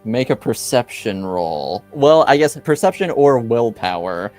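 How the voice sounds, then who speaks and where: A man narrating a tabletop game as game master in a hesitant, halting way. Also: mid-range voice, sing-song, darkish tone, faint music behind.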